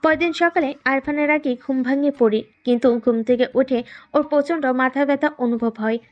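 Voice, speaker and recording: A woman's voice: high-pitched, moderate pace, dull audio. A woman reading scripted third-person narration for a romantic audio story.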